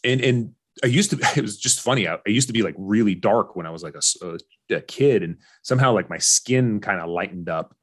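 A man in his forties with an American accent and a fairly low voice. He speaks as an interview guest, candid and informal. His delivery halts and restarts, with phrases repeated for emphasis. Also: fairly quick, a bit bright, husky. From Orange County, California. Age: 40s. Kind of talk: candid personal storytelling in conversation.

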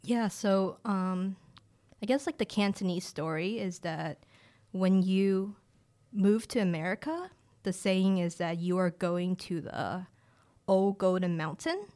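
A woman's voice: medium pitch, takes it slowly. Chatty woman in her late twenties. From rural China. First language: a Chinese village language. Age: late 20s. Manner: relaxed and chatty, with frequent "like" fillers and restarts. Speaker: guest, director of family empowerment. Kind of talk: casual interview conversation.

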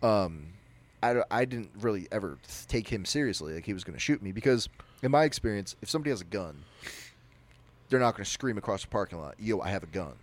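A young man with a naturally loud voice, telling a personal story on a podcast in a rambling, animated way. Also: fairly low pitch, fast talker. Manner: animated, rambling, with frequent restarts. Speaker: podcast co-host. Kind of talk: casual storytelling.

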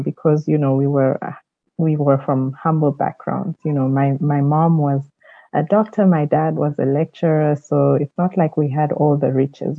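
A woman's voice: sits low, no echo, narrow, tinny audio. A woman from South Africa answering conversationally as an interview guest on a podcast. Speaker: podcast guest, leadership mentor.

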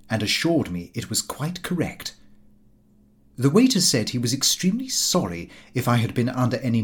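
A man narrating a comic diary novel as an audiobook, in a formal, measured and precise storytelling manner. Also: medium pitch.

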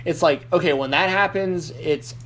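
A man's voice: a little high, moderate pace, a bit bright. A man hosting a casual wrestling podcast, rambling with frequent restarts and fillers. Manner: rambling, lots of likes and restarts. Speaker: podcast host. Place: Nashville.

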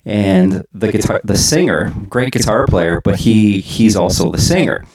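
A man hosts a laid-back interview, chatting easily and restarting his sentences as he goes. His voice sits a little low and rings in an echoey room. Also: unhurried, darkish tone. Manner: easygoing, chatty, with many ums and restarts. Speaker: host. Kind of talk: casual interview chat.

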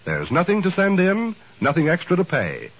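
A man with an American accent reading a scripted 1940s radio sales pitch, addressing listeners directly like a salesman. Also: huge pitch swings, moderate pace, breathy edge. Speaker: commercial announcer. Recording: dull vintage 1940s radio recording.

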